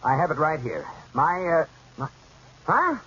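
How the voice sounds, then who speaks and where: A long-suffering banker husband with a bright, animated voice that jumps around in exasperation. He is straight out of a 1940s radio comedy. The sound is dull and lo-fi with faint hiss. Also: fairly high pitch, unhurried, slightly rough, exaggerated voice. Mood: exasperated. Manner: exasperated, sputtering, lively delivery. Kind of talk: 1940s radio sitcom acting. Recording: faintly hissy dull old radio broadcast.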